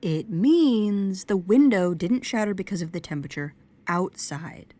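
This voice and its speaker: Low, swooping, analytical female investigator by an actress. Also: a little mellow. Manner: formal, technical, deliberate. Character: analytical, formal female investigator, measured and logical. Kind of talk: audio roleplay drama.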